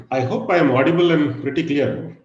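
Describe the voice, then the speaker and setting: A middle-aged man with a husky, low voice giving an online guest lecture, pausing often with fillers and "okay". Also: roomy sound, highs cut off. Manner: hesitant, many fillers and "okay". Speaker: guest speaker. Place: video call.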